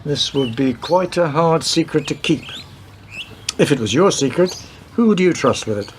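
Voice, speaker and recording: Man acting a slightly high-voiced, wry police detective. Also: lively intonation, normal speed, a little hiss or hum, natural voice. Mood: musing. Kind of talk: radio play acting.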